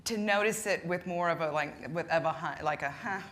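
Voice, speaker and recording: A woman's voice: lowish voice, brisk, fairly bright tone. A woman answering on a live panel, self-mocking and acting out her own inner voice.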